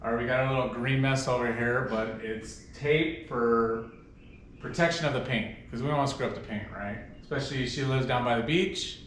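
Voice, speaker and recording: Male DIY host, casual and informal, mid-pitched, very roomy distant sound. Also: brisk, fairly bright tone. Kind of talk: casual hands-on project walkthrough. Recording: echoey, distant mic, faint background noise.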